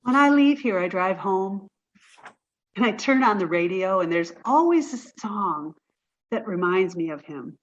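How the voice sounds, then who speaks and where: A woman acting in an audio play as a wistful, fragile woman in mourning, speaking haltingly and from the heart. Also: medium pitch, animated, lo-fi sound. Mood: fragile, pleading.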